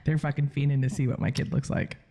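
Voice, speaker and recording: A woman's voice: a bass voice, fast. A woman in her thirties co-hosting a podcast, blunt and swearing, talking about her work and family. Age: 30s. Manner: blunt, profane, animated. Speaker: podcast co-host, bartender. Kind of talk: casual chat.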